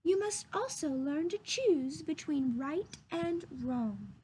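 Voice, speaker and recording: A woman voicing a gentle, wise storybook fairy in a soft, hushed, slow and instructive way. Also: sits high, lively intonation, slight background noise, voice pushed up, poor audio. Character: gentle, wise blue fairy granting wishes.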